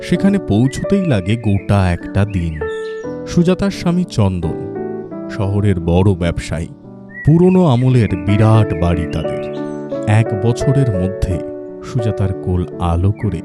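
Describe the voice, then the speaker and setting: Male narrator, lowish voice, dramatic scripted storytelling, deliberate phrasing, prominent music behind. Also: big pitch swings. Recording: loud music under narration.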